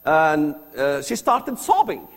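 A man's voice: a little high, huge pitch swings, takes it slowly, big-room sound. A man telling his personal story to an audience, earnest and full of rhetorical questions. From Palestinian territories. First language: Arabic. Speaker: speaker giving testimony. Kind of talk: personal testimony talk to an audience.

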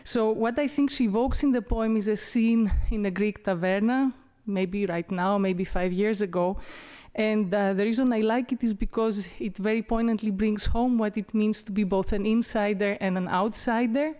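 A woman professor talks about a poem in an easy, explanatory way. Her pitch sits in the middle of the usual range. She restarts now and then and drops in small laughs. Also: steady tone, moderate pace, few pauses, mellow. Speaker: professor of Byzantine history and classics. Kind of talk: informal talk introducing a poem.

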